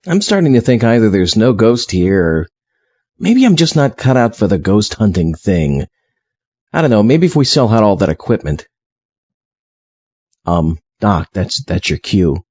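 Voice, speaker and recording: A man's voice: lowish voice, very animated, unhurried, long pauses, darkish tone, breathy edge, dry recording. A man hosting a show with scripted comic banter, speaking in a hesitant, stammering way. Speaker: show host. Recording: dull, dry, muffled recording.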